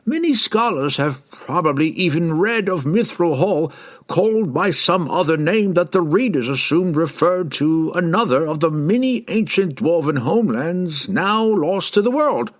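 A man voicing a wise, learned old herald in a fantasy audiobook, explaining things in a knowing, deliberate way. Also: fairly high pitch, expressive, voice pushed up.

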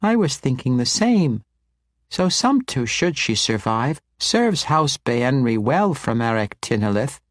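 A man acts out a tiny, imperious baby girl in a fantasy storybook. The voice is squeaky and raised high, close and dry, with precise grown-up phrasing and a taunting edge. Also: big pitch swings, measured pace, no echo. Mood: coolly calculating. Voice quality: squeaky, babyish. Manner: imperious, impatient, precise adult diction.

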